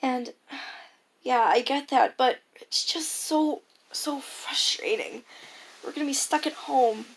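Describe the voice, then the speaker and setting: Teen female, high school age, with noticeable vocal fry, for a reflective personal audio log; casual, hesitant delivery. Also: slow. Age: teenager, high school freshman. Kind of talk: casual spoken audio diary, reflective monologue.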